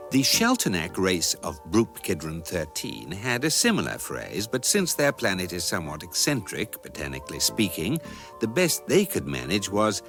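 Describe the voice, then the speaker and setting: A man playing a dry, erudite guidebook narrator with a British accent, reading comic science fiction narration in a measured deadpan. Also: fairly low pitch. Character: urbane, dry, all-knowing guidebook narrator.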